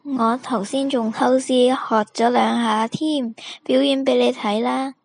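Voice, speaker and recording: A woman's voice: fairly high pitch, steady tone, measured pace. A woman playing a naive, hapless petty thief in a stage play, guileless and complaining. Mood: excited.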